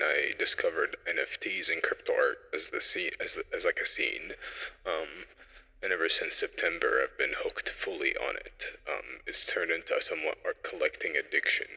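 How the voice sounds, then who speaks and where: A young man presenting an informal solo audio log, speaking haltingly and reflectively as he thinks aloud, through a radio-style effect. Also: deep voice, gravelly, sharp tone, slightly rough, long reverb tail, muffled recording. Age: young adult.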